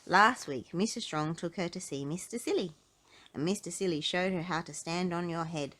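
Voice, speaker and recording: A woman reading a children's story aloud in an expressive, dramatic storytelling style. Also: fairly low pitch, normal speed. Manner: dramatic, animated delivery with emphasis. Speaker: storybook narrator.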